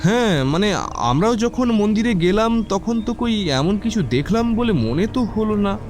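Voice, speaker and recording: Man playing a high, animated young college student. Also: a little slow, soft background music. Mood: curious. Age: young adult. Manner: questioning, inquisitive. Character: curious, skeptical young male college student. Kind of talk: audio drama acting.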